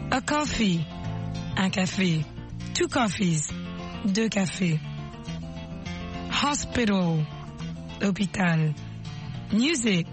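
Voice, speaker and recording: A woman narrating a scripted language lesson in a slow, clear, instructional way. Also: medium pitch.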